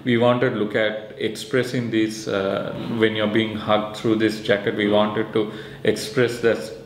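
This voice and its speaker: Low, monotone Sri Lankan man, echoey room. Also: a little slow. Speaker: researcher and maker presenting a project. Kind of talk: informal explanatory interview talk. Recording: echoey room with faint background noise.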